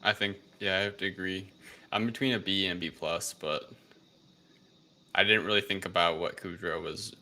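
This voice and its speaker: A man's voice: deep voice, steady tone, moderate pace, pushed and bright. A man co-hosting a casual review chat, informal and teasing with his friends. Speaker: podcast co-host.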